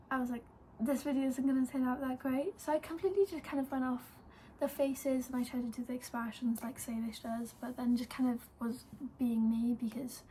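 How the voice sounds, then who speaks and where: Chatty young Welsh woman, high voice. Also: darkish tone, slight background noise, low-quality recording. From Wales. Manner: chatty, lots of "like" and "um". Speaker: vlogger. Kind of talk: casual storytelling to camera.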